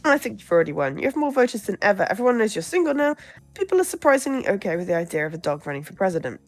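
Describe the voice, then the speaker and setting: A woman's voice: mid-range voice, sing-song, racing, dry recording, soft background music. A woman voicing a positive, matter-of-fact campaign aide in a visual novel, blithe and consoling. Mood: encouraging.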